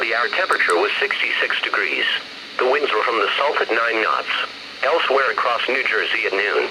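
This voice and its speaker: Automated male weather radio announcer, mid-pitched, flat measured bulletin read, very noisy broadcast. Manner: flat, measured synthesized reading in short phrases.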